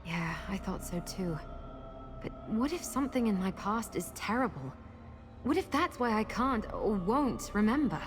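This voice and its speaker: A woman's voice: mid-range voice, animated, normal speed, close to an everyday voice. A woman voicing a practical, thoughtful adventuring companion in a video game, explaining things conversationally. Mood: uneasy. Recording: loud music behind.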